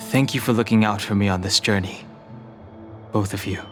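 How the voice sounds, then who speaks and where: A man's voice: lowish voice, moderate pace, soft background music, natural voice. A man playing a brooding, reserved young warrior in a video game, serious and formal. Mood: resolute.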